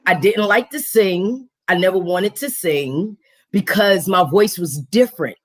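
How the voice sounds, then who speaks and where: Raspy deep-voiced lady hosting a live stream, chatty and animated. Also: a little slow. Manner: animated, slangy, acts out quotes in a higher voice. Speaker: host. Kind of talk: casual live-stream chat.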